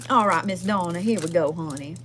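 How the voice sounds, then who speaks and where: A woman's voice: fairly low pitch, a little fast, heavy background noise, lightly acted. A woman playing a sassy, foul-mouthed, folksy Southern woman with a twang, chatting casually as a livestream host. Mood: gossipy, sassy. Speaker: livestream host doing readings. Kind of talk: casual livestream chat in character.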